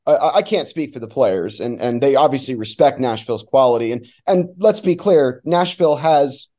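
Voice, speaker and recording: A man who works as a sports announcer, giving casual, somewhat halting analysis as a guest on a talk show. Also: mid-range voice, animated, warm tone.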